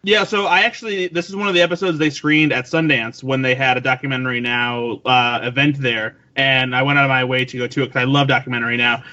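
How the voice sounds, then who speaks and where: A man's voice: medium pitch, fairly even intonation, quick pace, hardly any pauses, pushed and bright. A man on a podcast panel, talking with eager enthusiasm. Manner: enthusiastic, gushing. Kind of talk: casual podcast chat. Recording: slightly dull podcast audio.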